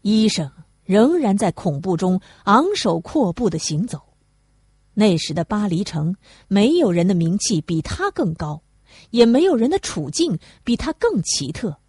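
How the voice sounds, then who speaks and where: Mellow, animated female audiobook narrator. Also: mid-range voice, measured pace, husky, no room sound. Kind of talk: scripted literary narration, reading a classic novel aloud.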